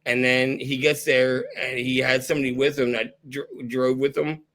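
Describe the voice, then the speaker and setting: A hoarse man who is losing his voice, hosting a podcast and chatting candidly in a loose, casual way. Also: mid-range voice, little pitch movement, moderate pace, a bit bright. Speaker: podcast host.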